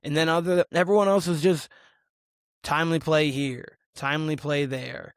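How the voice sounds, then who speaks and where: Guy with a hoarse voice doing a solo hoops recap, kinda monotone, dead dry sound, just chatting through the numbers. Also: fairly high pitch, moderate pace, no room sound. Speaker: basketball show host. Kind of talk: solo sports analysis podcast.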